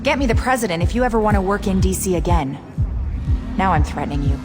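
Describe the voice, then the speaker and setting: A tough female intelligence officer voiced by an actress in a game cinematic. She is blunt and assertive at a middling pitch, with clear music behind her. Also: lively intonation, close to an everyday voice. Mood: threatening. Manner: brisk, assertive. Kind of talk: cinematic action game acting.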